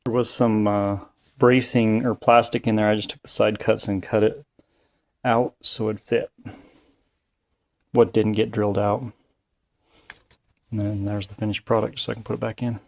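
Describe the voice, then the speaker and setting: A man's voice: lowish voice, level tone, normal speed, long pauses, a little mellow. A man giving a casual, step-by-step installation tutorial in a plain-spoken, folksy way. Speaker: installer presenting a how-to. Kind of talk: casual hands-on tutorial narration. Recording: clear background noise, occasional clicks.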